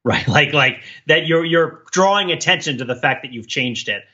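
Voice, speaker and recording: A man's voice: fairly high pitch, animated, moderate pace, sharp tone. A man co-hosting a casual pop-culture discussion, talking in a rambling, digressive way, on a slightly lo-fi recording. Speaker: podcast co-host.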